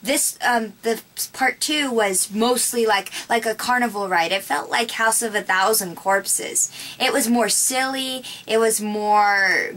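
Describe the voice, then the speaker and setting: A woman's voice: a little high, a little slow, hardly any pauses, fairly bright tone. A young woman co-hosting a casual horror movie chat in a small room, chatty and asking questions. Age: young adult. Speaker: horror channel co-host.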